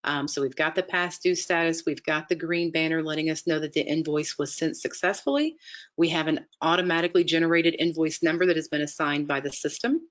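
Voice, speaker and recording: A woman narrating an instructional software demonstration in a methodical, explanatory way, step by step. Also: low voice, brisk. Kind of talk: instructional screen-share walkthrough narration.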